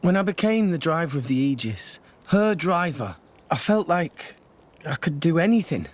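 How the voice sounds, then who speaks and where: A man's voice: a little high, big pitch swings, normal speed. A man voicing an earnest, self-doubting teenage boy hero in a video game. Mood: defeated.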